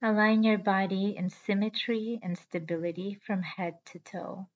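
A woman guiding a yoga nidra relaxation as host, with a soothing, measured manner and deliberate pauses. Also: medium pitch, steady tone. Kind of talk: guided relaxation meditation. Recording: slightly dull audio with background music. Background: music.

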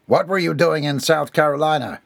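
A man voicing a stern old shipping boss in an audiobook, forceful and accusing in manner. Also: on the high side, expressive, moderate pace, creaky, dry recording, pitched above the performer's own voice, heavily acted. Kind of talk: dramatised audiobook dialogue.

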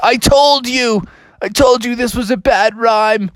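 A woman's voice: a little high, unhurried, no room sound, pitched above the performer's own voice, lightly acted. A woman voicing a big, reluctant, anxious male egg with a hesitant, protesting delivery. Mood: exasperated.

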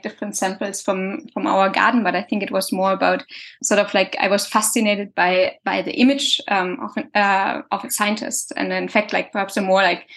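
A woman's voice: mid-range voice, fairly bright tone. A woman, answering interview questions in a hesitant way with many fillers and restarts. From Germany. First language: German. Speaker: guest scientist.

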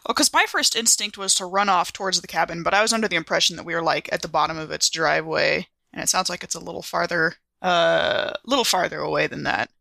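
A woman's voice: on the low side, fast talker, vocal fry, hardly any pauses, sharp tone, no room sound, clean studio sound. A woman playing a tabletop roleplaying game, thinking aloud in casual, hesitant chat.

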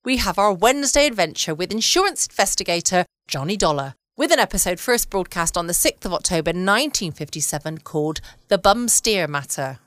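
A woman with a southern English accent hosting a relaxed, chatty bedtime podcast. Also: lowish voice, a little fast, few pauses, no echo. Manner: informal, chatty. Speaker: podcast host. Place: home.

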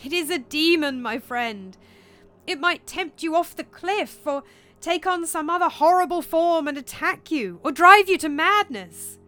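A woman's voice: very high voice, animated, measured pace, hardly any pauses, sharp tone, soft background music, voice pushed up, heavily acted. A woman voicing a fearful, protective young man in a storybook reading, urgent and pleading in manner. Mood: urgent warning. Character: Horatio, fearful, protective young male friend.

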